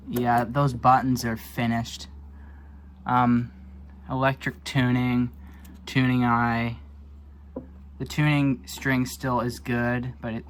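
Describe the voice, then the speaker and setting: A man's voice: medium pitch, a bit bright. A man giving a casual, unscripted show-and-tell as a hobbyist presenter, thinking aloud in an offhand, hesitant way. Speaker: hobbyist presenter showing vintage electronics.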